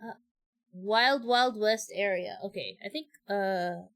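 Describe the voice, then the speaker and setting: A woman's voice: medium pitch, slow, noisy background. A woman with a Filipino accent, a game streamer chatting casually in a rambling way that often trails off, with game music behind her. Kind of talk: casual livestream chat.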